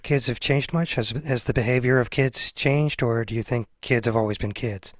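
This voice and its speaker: A man's voice: mid-range voice, moderate pace, dry recording. An American man hosting a casual interview in a hesitant, self-deprecating way. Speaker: show host, interviewer.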